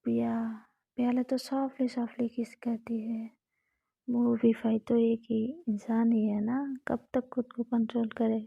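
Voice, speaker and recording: A woman narrating a romantic story in a steady, close whisper. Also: on the high side, unhurried, creaky, husky, no room sound. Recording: dull lo-fi recording.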